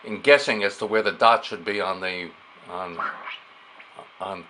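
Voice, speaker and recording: A man's voice: low-pitched, fairly even intonation, unhurried. A man giving a casual, unscripted hands-on radio demonstration, explaining things and thinking aloud as he works. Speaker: amateur radio hobbyist demonstrating a receiver. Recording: clear background noise, radio static. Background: shortwave radio stations and static.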